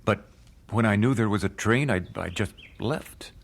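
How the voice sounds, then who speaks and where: An actor playing an earnest nineteenth-century American gospel singer in a radio drama, speaking in a measured, solemn, dramatic way. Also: male voice, lowish voice, creaky. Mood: anguished, guilty. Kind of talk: radio drama narration and dialogue.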